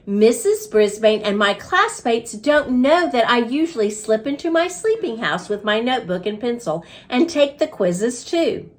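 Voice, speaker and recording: A woman voicing a small, eager, self-important male hamster in an expressive, exclamatory storybook telling. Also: a little high, unhurried, hardly any pauses. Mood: earnest, indignant.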